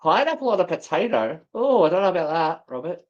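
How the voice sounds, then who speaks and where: A woman with a slightly low voice, co-hosting a casual live chat. Her pitch swings widely as she jokes. She is theatrical and swears freely. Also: sing-song, fast, dull audio. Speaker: co-host of a live show. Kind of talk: casual banter on a livestream.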